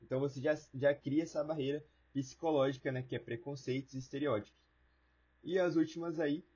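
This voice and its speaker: A man's voice: a little high, darkish tone, slightly rough, highs cut off. A Brazilian man teaching an online lesson in a casual, colloquial way, explaining things with simple everyday wording. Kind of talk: informal lecture with everyday examples.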